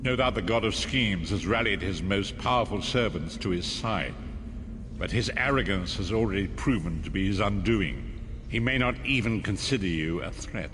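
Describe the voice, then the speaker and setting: A man playing a solemn, resolute leader in a fantasy game, speaking in a grave, measured, formal way. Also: lowish voice, expressive, a little hiss or hum. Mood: solemn resolve. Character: solemn, resolute older male leader preparing heroes for battle. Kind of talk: dramatic fantasy game voice acting. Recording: long cavernous reverb under loud music.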